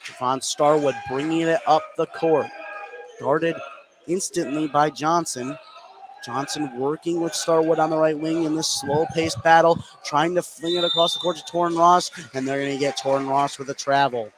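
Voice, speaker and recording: A man's voice: fairly high pitch, steady tone, normal speed, noisy background. A man doing live play-by-play commentary on a basketball game. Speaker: play-by-play sports announcer. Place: indoor high school basketball game.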